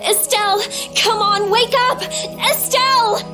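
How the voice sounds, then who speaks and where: A woman's voice: extremely high pitch, fairly even intonation, measured pace, loud music behind the voice. A woman playing an earnest, emotional young heroine in a dramatic video game. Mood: desperate.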